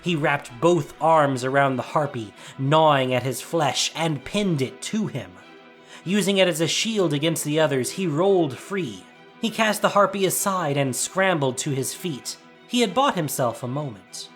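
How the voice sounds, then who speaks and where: A man's voice: fairly high pitch, animated, a little slow. Man narrating dramatic fantasy over music. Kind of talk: scripted dramatic story narration.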